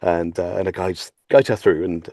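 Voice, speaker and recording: A British man chatting casually as an actor guest on a panel, with theatrical, halting phrasing. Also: sits low, steady tone, dark tone, dry recording, studio quality. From United Kingdom.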